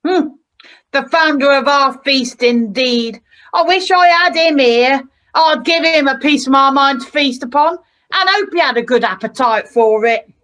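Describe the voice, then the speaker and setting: A woman's voice: high-pitched, measured pace, a bit bright. A woman playing a tart, outspoken wife and mother in an amateur radio play, forthright and emphatic. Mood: indignant. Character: indignant, outspoken wife and mother, Mrs Cratchit.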